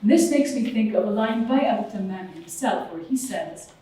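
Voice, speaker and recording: A woman from Lebanon giving an academic lecture on Arabic poetry, measured and thoughtful with some hesitations. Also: mid-range voice, expressive, dark tone. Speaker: guest lecturer on Arabic literature. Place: lecture room at a cultural center. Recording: distant mic in a very echoey hall.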